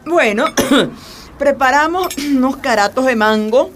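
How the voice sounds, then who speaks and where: An actress playing a warm, feisty sixty-year-old Venezuelan woman activist in a radio drama, with a folksy, emphatic way of talking. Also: sits high, expressive, slow, background noise. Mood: jovial, folksy. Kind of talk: radio drama acting, colloquial.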